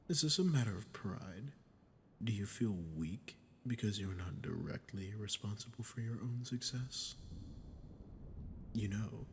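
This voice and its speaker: A man voicing an ancient sadistic demon in an ASMR roleplay, breathy and often near a whisper, taunting and condescending as he speaks straight to the listener. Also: on the low side, slight background noise. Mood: scornful. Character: ancient sadistic male demon, condescending, menacing, seductive manipulator. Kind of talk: scripted ASMR roleplay monologue.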